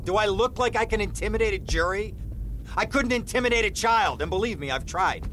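An actor playing a nervous, whiny crooked lawyer in a video game, stammering and repeating himself. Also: male voice, high voice, animated, brisk, fairly bright tone, a little hiss or hum, rough recording. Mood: frantic. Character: jittery, whining, panicky crooked lawyer, adult man. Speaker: lawyer character. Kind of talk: video game cutscene acting.

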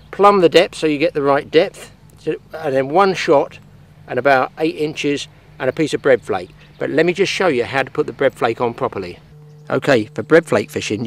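An older man in his sixties talks through a fishing demonstration, recorded outdoors on a grassy lake bank. His voice sits at an ordinary middle pitch, and he is practical and plain-spoken as he works with his hands. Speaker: fishing instructor.

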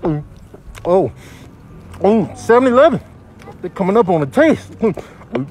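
A man improvising comic voiceover in an exclamatory, slangy manner with drawn-out interjections. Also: sits high, very animated, darkish tone. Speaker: comedian doing animal voiceovers. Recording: clear background noise.

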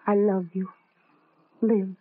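A woman's voice: mid-range voice, little pitch movement, takes it slowly, breathy edge, no echo. An actress playing a tender, devoted young woman in love, earnest and emotional, in an old-time radio drama with tinny sound.

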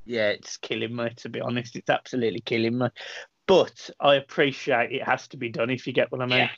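A man's voice: medium pitch, little pitch movement, fairly quick, vocal fry, a bit bright, no echo. Man on a headset video call. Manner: chatty, repeats words when starting sentences. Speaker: host of fan channel. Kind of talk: casual video-call chat. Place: small room at home. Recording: dry, dull lo-fi call audio.

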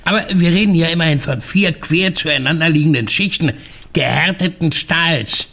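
A man playing a rough, boastful safecracker in a German radio play, with a gravelly, husky voice. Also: fairly high pitch, moderate pace, pushed and bright, full character voice. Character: rough, boastful master safecracker, slow-talking.

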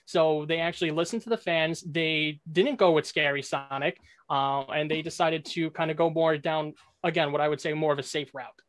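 Guy with a slightly high voice making his case in a debate, explains stuff, dry close sound. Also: moderate pace, few pauses, fairly bright tone, no echo. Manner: explanatory, checks with rhetorical "right?". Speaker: debate contestant. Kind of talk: unscripted debate argument.

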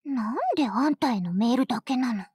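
A woman's voice: on the high side, animated, a little fast, warm tone, slightly rough, no echo, studio quality. A woman voicing a haughty, girlish young fleet leader in an anime game, pompous and commanding but easily flustered. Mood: bossy. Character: haughty young warship girl, self-styled charismatic fleet leader. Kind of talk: Japanese anime game character voice lines.

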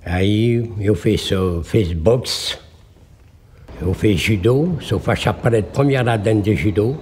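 A man in his seventies with a French accent, speaking Portuguese in a halting, plain-spoken way. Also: on the low side, darkish tone, a little hiss or hum. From France. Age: 70s. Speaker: interviewee, former bodybuilder.

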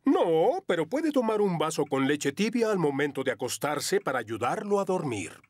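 Very animated cartoon bear doctor. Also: male voice, on the high side, moderate pace, no room sound. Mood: friendly. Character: friendly adult male bear doctor. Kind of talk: children's cartoon dialogue.

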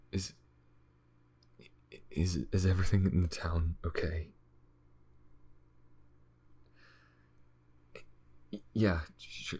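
A man playing a weary, shaken vampire in an ASMR audio roleplay, confiding in halting, haunted phrases with a breathy, husky whisper. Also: extremely low pitch, heavily acted. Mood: shaken, starved. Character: weary, shaken, starving male vampire survivor.

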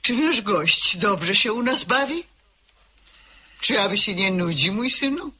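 A woman's voice: mid-range voice, animated, unhurried, slight background noise. A woman playing a haughty, condescending lady of the manor in a radio drama. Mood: scornful. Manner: sharp, condescending.

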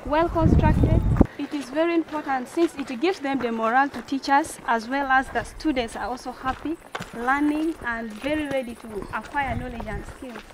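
A young woman from South Sudan with a South Sudanese accent, presenting a school tour in earnest, halting phrases on noisy location audio. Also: high-pitched. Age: young adult. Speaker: student tour guide. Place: school grounds and rooms, outdoors and indoors.

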